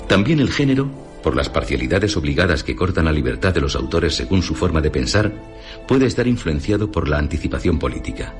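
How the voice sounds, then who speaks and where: A man with a Castilian Spanish accent narrating a scripted radio essay in a formal, didactic, deliberate manner. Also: extremely low pitch, sing-song, faint music behind.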